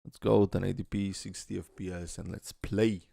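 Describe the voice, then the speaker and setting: Low, gravelly man rambling through a reaction. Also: flat delivery, moderate pace, over music. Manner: rambling, filler-heavy, swears and laughs. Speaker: reaction video host. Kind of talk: casual reaction commentary.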